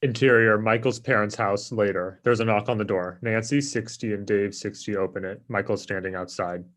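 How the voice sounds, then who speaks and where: A man's voice: lowish voice, fairly even intonation, moderate pace, a bit bright. A man narrating screenplay stage directions from a script in a table read.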